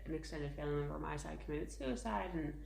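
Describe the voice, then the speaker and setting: A woman's voice: sits low, fast, gravelly, a little mellow, a little hiss or hum. A woman in her thirties co-hosting a casual parenting podcast, chatty and full of filler words. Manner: chatty, many "like" fillers, laughs often. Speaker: podcast co-host, mother. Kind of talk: casual chat. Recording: echoey room.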